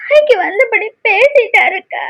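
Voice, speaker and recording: A woman's voice: very high-pitched, brisk, fairly bright tone. A woman acting a timid, wronged young village single mother in an emotional drama, pleading in a tearful, plaintive way. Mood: tearful, hurt.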